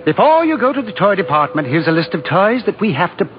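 High-pitched, flustered department store boss,, officious, lively intonation, vintage radio drama. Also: male voice, fast, a little hiss or hum. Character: fussy, excitable department store manager, a man. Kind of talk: old-time radio drama acting. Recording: dull lo-fi old radio recording.

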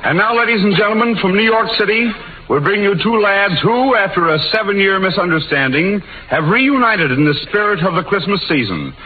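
A man's voice: high-pitched, sing-song, brisk. A man announcing acts in the style of a 1940s radio variety show. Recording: noisy, tinny 1940s radio broadcast.

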